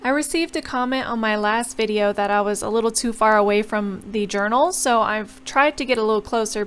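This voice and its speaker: A woman's voice: mid-range voice, smooth. A young woman chatting through a journal painting tutorial as a voice-over, explaining each step and apologizing now and then. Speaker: bullet journal creator.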